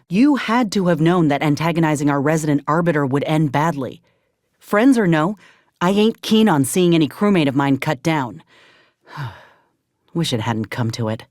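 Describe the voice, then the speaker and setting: An actress playing a gruff, loyal sci-fi soldier and medic in a video game, speaking in a folksy, plainspoken, measured way. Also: female voice, sits low, a little mellow, dry recording, clean studio sound. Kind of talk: video game companion dialogue.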